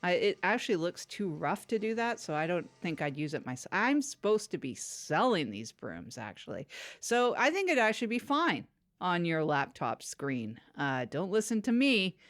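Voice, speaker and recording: A woman playing an awkward, unfiltered broom salesperson in improvised comedy, rambling haltingly in a matter-of-fact way. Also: lowish voice, sing-song, dry recording. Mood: deadpan, rambling. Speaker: product demonstrator on a comedy podcast.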